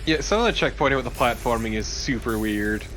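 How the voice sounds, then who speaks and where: A man's voice: mid-range voice, animated, brisk, fairly bright tone. A man co-commentating on a game, wisecracking and swearing in casual banter. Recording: faint background noise. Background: game sound.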